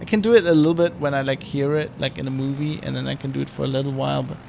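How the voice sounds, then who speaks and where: A man's voice: medium pitch, fairly even intonation, rapid-fire, vocal fry, a little mellow, a little hiss or hum. A man giving rambling, casual commentary while streaming a flight sim. He restarts and corrects himself often. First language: German.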